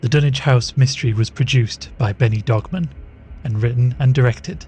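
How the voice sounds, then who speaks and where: A man's voice: mid-range voice, normal speed, warm tone, soft background music. A man reading scripted end credits as the announcer of an audio drama podcast. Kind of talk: scripted narration.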